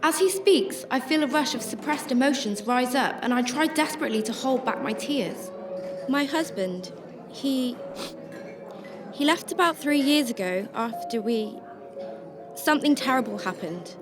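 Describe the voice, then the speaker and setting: A woman's voice: high voice, lively intonation, moderate pace. A woman acting a troubled, secretive shelter worker who narrates her story in a radio drama, emotive and hesitant in dialogue. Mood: pained. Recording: roomy sound with music behind.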